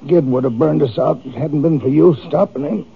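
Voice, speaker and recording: An actor playing a wounded, bitter, grieving Texas farmer in an old radio western, speaking in a rustic, halting, strained way. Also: male voice, on the high side, gravelly, slightly rough, exaggerated voice. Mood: bitter, resentful. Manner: rustic, halting, weary speech. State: injured, strained. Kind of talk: 1950s radio western acting. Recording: narrow, muffled old-time radio recording.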